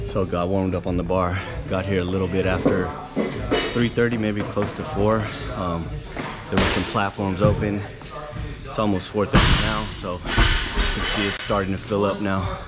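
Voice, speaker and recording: Dude with a really low voice giving a laid-back gym tour, music playing behind. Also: male voice, moderate pace. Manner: relaxed, chatty, laid-back. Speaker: vlogger touring his gym. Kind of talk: casual vlog narration. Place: weightlifting gym, indoors.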